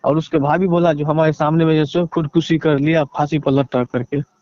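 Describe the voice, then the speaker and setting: A man with a Bihari accent giving a halting, deferential account in a phone interview, leaning on repeated filler phrases. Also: on the high side, flat delivery, darkish tone, no room sound. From Bihar, India. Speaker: interviewee, relative seeking justice. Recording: dull lo-fi phone call.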